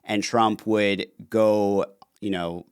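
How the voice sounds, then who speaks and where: A man talking casually as a podcast guest, quick and animated with lots of fillers. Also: on the low side, clean tone, no echo, clean studio sound. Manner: fast, animated talker with many fillers. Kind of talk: casual interview conversation.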